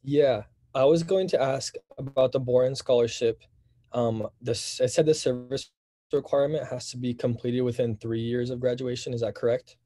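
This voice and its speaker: Mellow man with a dry sound. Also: medium pitch, fairly even intonation, moderate pace, no room sound. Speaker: student asking a question. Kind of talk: casual question on a video call.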